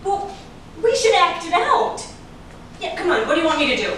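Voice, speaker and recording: A woman's voice: extremely high pitch, very animated, normal speed, a bit bright, heavily acted. A woman playing a chatty, star-struck gossip lover in a staged reading, with a gushing, bubbly manner. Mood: excited, gushing. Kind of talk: stage acting at a script reading. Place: large room, staged reading recorded from afar. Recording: echoey room, far from mic, noisy.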